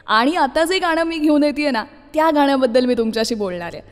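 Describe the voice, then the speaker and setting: A woman presenting a music radio show, introducing songs in a chatty, expressive storytelling way and speaking directly to listeners. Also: high voice, quick pace, big-room sound.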